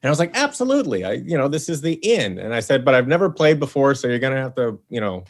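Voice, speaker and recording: A man chatting on a video call, telling animated stories and acting out quoted lines. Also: medium pitch, fast talker. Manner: animated storyteller, acts out quotes. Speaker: podcast guest, head writer. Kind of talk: casual chat on a video call.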